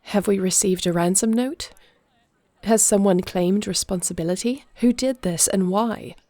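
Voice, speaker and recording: A woman playing a commanding but worried dragon queen in an audio roleplay, formal and measured. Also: mid-range voice, dark tone, no room sound, lightly acted. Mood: anxious, demanding. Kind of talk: audio roleplay drama acting.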